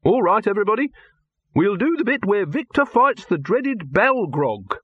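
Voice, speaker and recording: A man's voice: high-pitched, sing-song, normal speed, breathy edge, no echo, dull audio, voice pushed up, full character voice. A man voicing a fussy, exasperated film director who complains peevishly, as an audiobook character. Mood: bossy.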